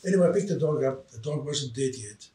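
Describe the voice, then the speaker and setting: A man in his eighties who grew up in South Africa, telling stories from his life as an interview guest in a halting way, with many fillers and restarts. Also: medium pitch, animated, dark tone. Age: 80s. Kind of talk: storytelling in casual chat. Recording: roomy, distant mic, faint background noise.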